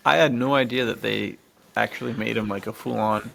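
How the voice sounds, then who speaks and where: A young American man co-hosts a podcast in loose, slangy chat. He sounds enthusiastic, with a noticeable creak in his mid-pitched voice. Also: steady tone, a little fast, a bit bright, a little hiss or hum. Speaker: podcast co-host. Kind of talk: casual chat.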